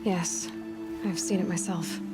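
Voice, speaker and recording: A woman's voice: on the low side, fairly even intonation, a little slow, music in the background, close to an everyday voice. An actress playing a capable young female hunter in a video game cutscene, direct, sympathetic and understated. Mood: matter-of-fact.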